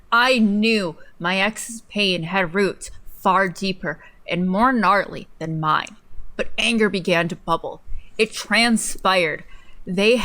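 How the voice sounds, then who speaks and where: A woman's voice: medium pitch, a bit bright. A young woman co-hosting a livestream, doing a playful, mocking dramatic reading mixed with casual banter. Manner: giggly, playful, theatrical when reading aloud. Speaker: livestream co-host. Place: indoors at a desk in a home streaming setup.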